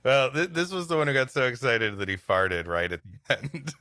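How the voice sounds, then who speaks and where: Bright-voiced male comedy podcast host. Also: medium pitch, fairly quick, dry recording. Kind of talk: casual comic banter.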